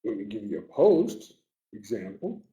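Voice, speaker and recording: A man hosting a live webinar, talking earnestly and emotionally to his team, his voice getting a little raspy. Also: on the low side, sing-song, unhurried, dark tone. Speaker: webinar host. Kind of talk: live webinar pep talk.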